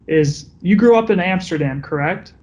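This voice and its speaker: Male host, friendly upbeat questions, slightly high voice, dull lo-fi recording. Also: normal speed, a little mellow. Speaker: interview host. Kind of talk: casual interview questions. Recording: dull lo-fi sound.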